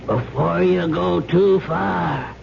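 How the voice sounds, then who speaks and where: Muffled vintage radio drama. Miserly old uncle, suspicious and snappish, high cracked creaky delivery. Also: male voice, background noise, heavily acted. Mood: menacing, taunting. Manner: crotchety, drawn-out taunts and snappish muttering. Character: miserly, suspicious old uncle hoarding his money, taunting. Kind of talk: old-time radio mystery acting.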